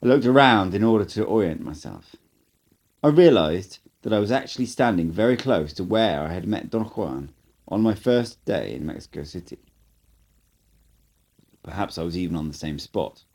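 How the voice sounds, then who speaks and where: A man narrates a first-person tale in a lowish voice that swings widely in pitch. He reads as a hobby audiobook narrator, with an expressive, dramatic delivery. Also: normal speed. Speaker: amateur audiobook reader.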